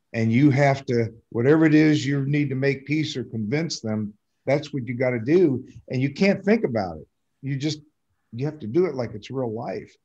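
Husky older man on muffled call. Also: medium pitch, darkish tone. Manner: halting, plain-spoken. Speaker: actor in a community theatre production. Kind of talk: casual reflective chat. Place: indoors on a video call. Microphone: video call computer mic. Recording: muffled video call audio.

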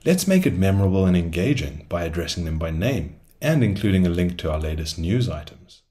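A man with a low voice delivers a scripted software tutorial. His pitch moves in lively swings, and his delivery is upbeat and salesy, like a presenter walking viewers through a product. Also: a little fast. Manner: upbeat, salesy phrasing with rhetorical questions. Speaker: solution engineer presenting a product demo.

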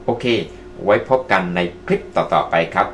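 A man's voice: low-pitched, animated, normal speed, slight background noise. A man presenting casually to online viewers as a tutorial host, addressing them politely as friends. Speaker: presenter of video-making tutorials. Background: music.

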